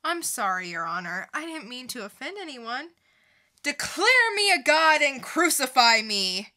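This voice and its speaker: A woman acts out a defiant young goddess from a comic book, put on trial. The voice is high and bright, with lively, theatrical swings. Every line is sardonic, smug and mock-polite. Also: a little slow, exaggerated voice. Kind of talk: comic book dramatic reading.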